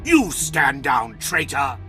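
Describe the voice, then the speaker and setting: An actor playing a vengeful, self-righteous zealot hunter in a video game, declaiming threats. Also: male voice, mid-range voice, very animated, slow pace, music in the background. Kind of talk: dramatic video game acting.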